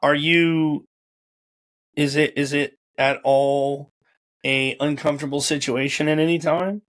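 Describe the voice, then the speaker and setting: A man's voice: fairly high pitch, steady tone, unhurried, fairly bright tone. A young man hosting a casual interview, informal and repeating words as he feels his way through questions. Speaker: host. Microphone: video call or home camera.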